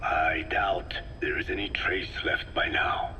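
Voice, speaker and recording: Slow, whispery old scientist. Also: male voice, sits low. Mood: probing, sober. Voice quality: breathy, whispery. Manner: slow, deliberate, formal explanations. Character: elderly male scientist, frail, grave and measured. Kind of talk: dramatic video game voice acting. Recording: noisy field tape with steady hum.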